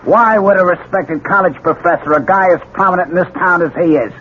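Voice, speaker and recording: An actor playing a brusque, sardonic American police lieutenant, an exasperated boss, in a 1970s radio drama. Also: male voice, high-pitched, fairly quick, close to an everyday voice. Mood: sarcastic, exasperated. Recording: muffled old radio recording with hiss.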